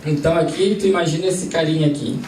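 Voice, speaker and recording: A man's voice: fairly high pitch, fairly even intonation, fast, darkish tone. Man leading a group study. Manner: conversational, asks the audience questions. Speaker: spiritist study group leader. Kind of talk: informal group lesson with questions to audience. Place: study centre meeting room. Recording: roomy, distant mic, faint background noise.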